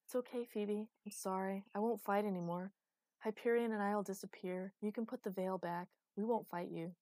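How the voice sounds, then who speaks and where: A woman's voice: mid-range voice, steady tone, moderate pace, mellow, dry recording, close to an everyday voice. A woman voicing a despairing, self-loathing male lover in a fantasy audiobook, apologetic and pleading. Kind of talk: audiobook character acting.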